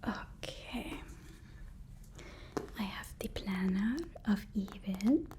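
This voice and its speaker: Woman playing a whispering, devoted villain's assistant. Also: medium pitch. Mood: soothing, attentive. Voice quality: breathy whisper. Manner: deferential, hesitant, slow and soothing. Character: devoted, nervous female personal assistant to a supervillain. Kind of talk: ASMR roleplay.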